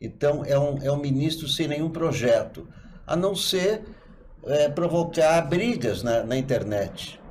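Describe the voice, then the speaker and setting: A man's voice: on the high side, lively intonation, a little slow, slight background noise. A man giving political commentary in a discussion, speaking emphatically and tagging his points with questions.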